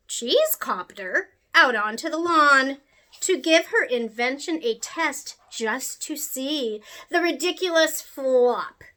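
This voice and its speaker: A woman reading a rhyming children's picture book aloud in an expressive, sing-song way. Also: high-pitched. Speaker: storyteller.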